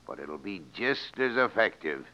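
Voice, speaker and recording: An actor playing a sadistic, swaggering cowboy villain in an old radio Western, with a gravelly, gloating, folksy drawl. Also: male voice, sits low, big pitch swings, measured pace, noisy background, heavily acted. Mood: cruel gloating. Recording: dull lo-fi vintage radio recording.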